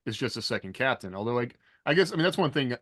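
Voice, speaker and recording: A man's voice: mid-range voice, sing-song, fast talker, a bit bright, no room sound, studio quality. A man talking casually on a podcast panel, thoughtful and tentative as he weighs things up.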